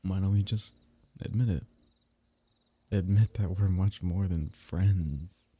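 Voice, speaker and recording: A young man speaking softly and intimately in an ASMR roleplay, teasing and sometimes dropping into a whisper. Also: low-pitched, takes it slowly, slightly rough.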